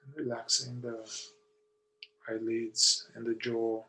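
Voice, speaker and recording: A man leading a guided meditation in short, deliberate phrases with long pauses between them. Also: medium pitch, little pitch movement, creaky, sharp tone, low-quality recording. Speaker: meditation guide, somatic therapist.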